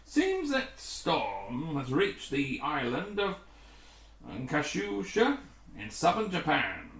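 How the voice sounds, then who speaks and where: A sober, careful commander read by a male storyteller briefs his team with measured authority, pausing as he weighs things up. The voice has lively intonation and sits in an echoey room. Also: fairly high pitch, distant mic, exaggerated voice. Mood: mildly worried. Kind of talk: acted adventure story dialogue. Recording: echoey, roomy home recording.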